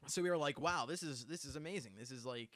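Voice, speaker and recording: A man's voice: mid-range voice, fast. A young man co-hosting a livestream, telling stories eagerly with many restarts. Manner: excitable storyteller, restarts a lot. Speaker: channel co-host. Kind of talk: casual livestream chat.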